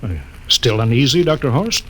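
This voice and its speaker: An actor playing a brisk, authoritative male spaceship captain in a 1950s radio drama. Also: mid-range voice, lively intonation, a bit bright, slight background noise, close to an everyday voice, poor audio. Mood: commanding.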